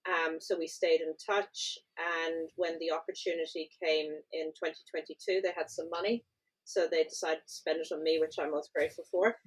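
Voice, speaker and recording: A woman in her thirties from Ireland with an Irish accent, a chief executive speaking in a brisk, good-humoured way. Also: sits low, low-quality recording. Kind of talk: podcast interview.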